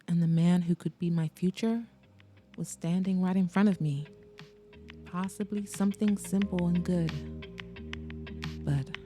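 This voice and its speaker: A woman reading a first-person romance audiobook in a soft, intimate storytelling way and hosting her podcast. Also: lowish voice, steady tone, unhurried, long pauses, soft background music. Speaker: narrator and podcast host.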